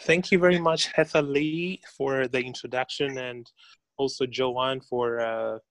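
A man from Kenya with a Kenyan accent, speaking as a podcast guest in a deliberate, halting conversational manner. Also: mid-range voice, fairly even intonation, fairly bright tone, no room sound, rough recording. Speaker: podcast guest, founder and student. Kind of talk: conversational self-introduction.

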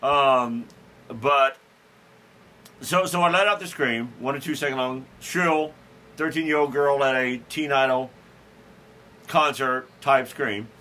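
A man's voice: mid-range voice, slow, pauses often, sharp tone. A man hosting a podcast, telling a rambling, self-deprecating story in a casual chat, chuckling and restarting his sentences. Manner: frequent restarts and fillers. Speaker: podcast host.

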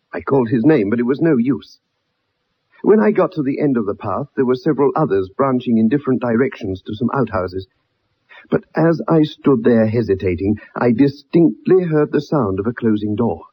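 A man's voice: medium pitch, husky. An actor playing an earnest, dogged young ex-soldier, telling his story in a formal, dramatic way in a radio drama. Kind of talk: 1960s radio drama acting. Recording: muffled old radio broadcast.

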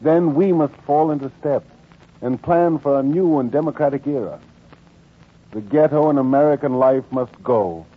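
A man's voice: medium pitch, normal speed, close to an everyday voice. A man narrating a 1940s radio drama as an earnest, solemn and oratorical storyteller. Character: earnest male narrator of a civil-rights radio documentary. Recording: tinny, band-limited 1940s radio recording.

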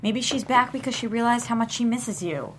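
A woman's voice: a little high, brisk, slight background noise, natural voice. A woman playing a firm, patient, wry grandmother keeping the peace in a script table read. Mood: patient but firm.

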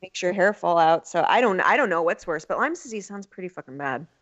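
A woman's voice: lowish voice, lively intonation, fairly quick, muffled recording. A woman actress chatting as a podcast guest, talkative, laughing and swearing freely. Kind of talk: casual interview chat.

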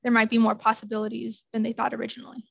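A woman genetic counselor talks earnestly about her research, often softening her points. Her voice is a little high and stays flat in pitch. The sound is dull and dry, like a remote line. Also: very fast, gravelly, slightly rough, no room sound. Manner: earnest, hedges with "I think". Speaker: interview guest, genetic counselor. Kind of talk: casual interview answers.

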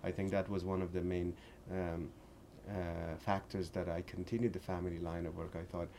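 A man in his forties from Afghanistan, speaking as an interview guest in an earnest, halting way with many restarts. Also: sits low, flat delivery, warm tone, slight background noise. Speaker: shop owner, guest. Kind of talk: interview conversation.

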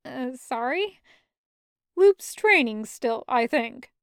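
Woman voicing a hesitant, softer, awkward man. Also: high-pitched, expressive, clean tone, no echo, studio quality, full character voice. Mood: uneasy. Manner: hesitant, halting, apologetic. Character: awkward, mild-mannered male friend, conciliatory superhero.

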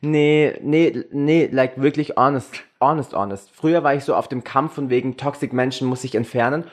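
A man's voice: mid-range voice, moderate pace. A young man from Germany chatting casually and candidly, crude and frank, mixing English slang into his German. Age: young adult. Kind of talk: casual, candid group chat.

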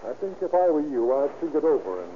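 A man's voice: a little high, expressive, lightly acted. Male actor as matter-of-fact, probing police detective, old-time radio drama, dull and noisy sound. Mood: stern, probing. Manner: deliberate, formal, probing. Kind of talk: 1930s radio acting.

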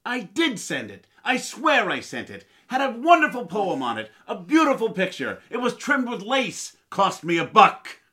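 Man acting a crabby, excitable radio-comedy husband. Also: high voice, moderate pace, few pauses, full character voice. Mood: exasperated, defensive. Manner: grumbling, indignant, excitable. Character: crabby, penny-pinching, exasperated husband in a comedy radio play.

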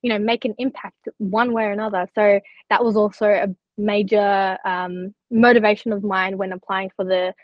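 A woman's voice: medium pitch, flat delivery, normal speed, hardly any pauses, no echo. A young woman who grew up partly in Turkey, talking earnestly and chattily as a podcast guest, with lots of likes and you-knows. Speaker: scholarship recipient guest. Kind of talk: candid podcast interview. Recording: slightly dull lo-fi audio.

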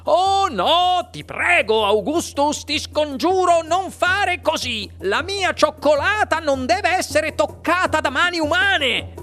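A woman voicing an excitable, fussy, eccentric male chocolate maker in a storybook, pleading and agitated. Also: high-pitched, slow, hardly any pauses, voice pushed up, heavily acted.

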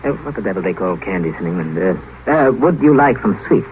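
An actor in a 1950s radio drama playing a glib, callous doctor with a mocking, breezy manner. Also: male voice, sits low, very animated, brisk, narrow, tinny audio. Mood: casual, coaxing. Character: sardonic, glib, callous doctor, teasing and unafraid. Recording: thin, noisy old radio broadcast.

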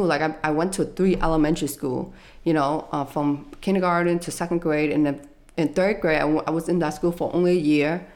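Low-voiced woman recalling her childhood. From United States. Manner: soft-spoken, hesitant, many fillers. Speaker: interviewee. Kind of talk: personal life story interview.

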